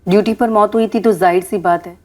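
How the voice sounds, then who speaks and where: An actress playing a composed, skeptical government officer in a television crime drama, reporting in a measured, matter-of-fact way over background music. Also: female voice, medium pitch, fairly even intonation, darkish tone, close to an everyday voice. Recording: background music under dialogue.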